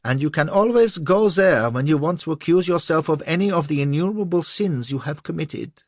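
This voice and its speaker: A man voicing a timid little male court official, shrill and pleading, close to tears. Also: sing-song, moderate pace, no room sound, exaggerated voice. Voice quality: shrill, rising to a squeal. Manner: stammering, cowering, near tears.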